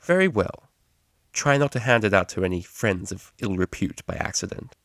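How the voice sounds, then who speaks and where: A man's voice: fairly low pitch, very animated, moderate pace, fairly bright tone, no room sound, clean studio sound. A man voicing a haughty, prim young female pony cellist for a storybook, speaking formally and with disdain. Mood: snooty.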